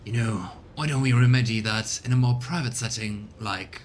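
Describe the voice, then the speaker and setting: Guy doing a proud lord captain from a space game, formal, mid pitch, bit of rumble. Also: moderate pace, natural voice. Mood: proud, composed. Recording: low rumbling background noise.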